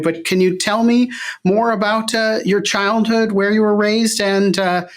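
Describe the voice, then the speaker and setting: A man hosting an interview podcast as a medical oncologist, reading his intro and then asking questions in a measured, careful way. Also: high voice.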